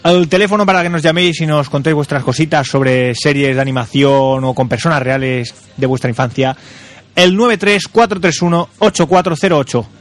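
A man's voice: on the high side, brisk. A man with an accent from Spain co-hosting a radio show in a casual, teasing, colloquial style with some swearing. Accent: Spanish from Spain. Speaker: radio co-host. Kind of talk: casual, bantering radio talk. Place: radio studio, at a microphone.

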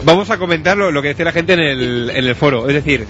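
Male radio host, high pitch, casual chatty reading, dull recording. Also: fast. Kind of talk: casual chat, reading listener forum posts aloud. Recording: dull lo-fi radio recording, loud music behind. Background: loud music.

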